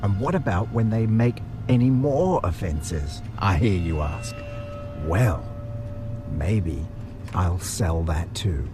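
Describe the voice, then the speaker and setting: A man performs a villainous butcher boss for an audio drama. His voice sits low, and he talks with theatrical, mock-polite formality and quiet threat. Music plays clearly behind him. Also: lively intonation, takes it slowly. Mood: sinister. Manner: formal, theatrical, falsely courteous. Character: maniacal butcher crime boss, menacingly polite.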